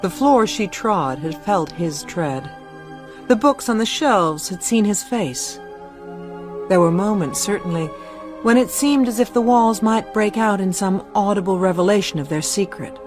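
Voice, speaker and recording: A woman narrating a literary ghost story for a radio play, measured and solemn. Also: mid-range voice, lively intonation, music in the background, lightly acted. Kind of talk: scripted narration.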